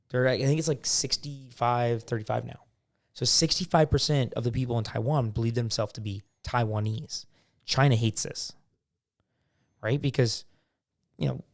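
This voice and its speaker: A man's voice: medium pitch, darkish tone. Opinionated male podcast co-host. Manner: opinionated, explains with everyday examples, acts out quotes. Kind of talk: casual chat.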